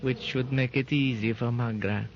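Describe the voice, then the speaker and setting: An actor playing a cold, scheming henchman in a 1930s radio drama, measured and sly. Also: medium pitch, steady tone, natural voice. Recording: dull lo-fi 1930s radio recording with faint hiss.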